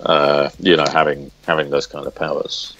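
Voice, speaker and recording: A man with a very low voice talks casually in an interview as a comic writer. His delivery is halting, with words repeated as he goes. The sound is dull and lo-fi, captured on a phone. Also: flat delivery, a bit bright, slight background noise. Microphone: smartphone recorder.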